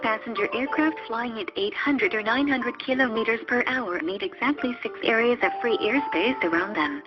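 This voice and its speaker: A woman reading a scripted explanatory narration in a formal, measured manner. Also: on the high side. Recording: muffled lo-fi audio under loud music. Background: music.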